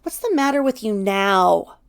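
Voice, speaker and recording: Storybook role played by a woman: defensive, accusing hothead, raised creaky voice, forceful and exasperated. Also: medium pitch, expressive, measured pace. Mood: irritated, snapping. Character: short-tempered, defensive adult snapping at a loved one.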